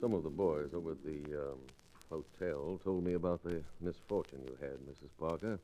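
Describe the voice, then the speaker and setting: An actor playing a cold, cocky, mock-polite gunslinger in an old-time radio western. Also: male voice, very deep voice, normal speed, mellow, a little hiss or hum. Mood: oily, mock-sympathetic. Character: cold, cocky, smooth-talking gunslinger villain. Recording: dull vintage radio recording.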